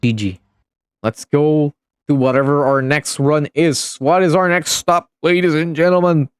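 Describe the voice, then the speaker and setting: A man's voice: fairly high pitch, fairly even intonation, measured pace, clear, resonant tone, no room sound, studio quality. A man giving casual live commentary on a game replay stream, chatting with viewers and laughing often.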